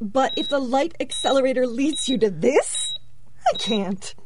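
An actress playing a distressed, disbelieving scientist in an emotional audio drama. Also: female voice, high voice, lively intonation, a little slow, background noise. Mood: distraught.

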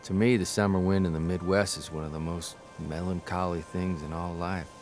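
An actor playing a wistful writer in an audio drama, reading in a measured, contemplative way. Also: male voice, deep voice, fairly even intonation, lightly acted. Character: wistful writer son, reflective Midwestern farm boy grown up. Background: music.

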